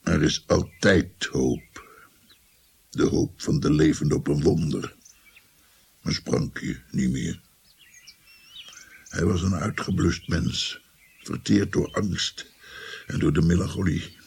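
An actor playing a melancholy old doctor who tells of his past in a radio drama, with a gravelly, husky voice. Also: a bass voice, takes it slowly, pauses often, darkish tone, slight background noise, heavily acted. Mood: weary, melancholy.

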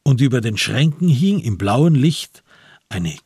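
A man narrating a literary crime radio play in a measured, deliberate and dramatic way. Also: medium pitch, very animated, darkish tone, slightly rough, no echo, clean studio sound.

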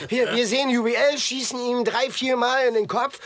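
A man's voice: very high-pitched, steady tone, moderate pace, a bit bright. A man playing an eager, scheming covert operative in a radio drama, talking in an insistent, colloquial way. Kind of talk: conversational radio drama acting.

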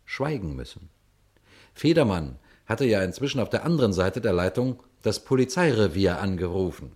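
An actor playing a shrewd, unhurried police detective who narrates his case in a radio crime drama, deliberate and pointed. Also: on the low side, a little mellow. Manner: deliberate, pointed, addresses listeners directly.

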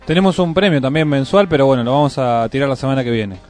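A man's voice: mid-range voice, fast, a little mellow, faint music behind. A man with an Argentine accent hosting and interviewing on the radio in a conversational style. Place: radio studio.

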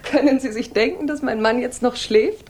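A woman's voice: a little high, moderate pace, darkish tone, slight background noise, natural voice. An actress playing a reserved, elegant married lady, polite and composed, in a 1960s German radio drama. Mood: chatty, animated.

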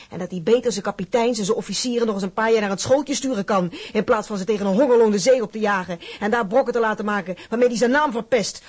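An actress playing a feisty, proud working-class wife in a radio drama, fiercely loyal, blunt and sardonic. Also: female voice, mid-range voice, a little mellow, slightly rough, close to an everyday voice.

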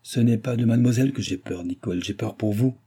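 Man doing guarded young man, tight and quiet, warm low husky voice, audiobook. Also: quick pace. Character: tense young man, secretive and defensive.

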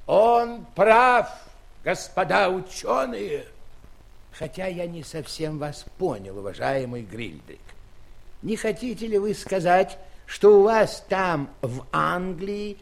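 A man's voice: sits high, lively intonation, slow, creaky, heavily acted. An actor in an audio play playing a grand giant king, pompous, declamatory and full of curious wonder. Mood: curious, amazed. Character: giant male king, grand, curious, condescending yet benevolent.

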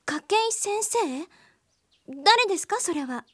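Sheltered rich daughter, polite, innocent, high animated voice. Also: female voice, slow, dry recording, heavily acted. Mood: innocent, curious. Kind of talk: radio drama acting.

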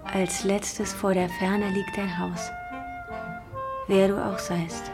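An actress playing an earnest, gentle young waitress in a radio drama. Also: female voice, medium pitch, level tone, slow, loud music behind the voice. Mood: gentle, reflective. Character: young waitress, soft-spoken, earnest and kind.

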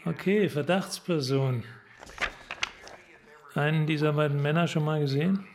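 An actor in a German radio crime drama playing a tough, cynical police detective, blunt, terse and bullying. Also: male voice, on the high side, a little slow, noisy background. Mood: probing. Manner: blunt, terse, hard-boiled.

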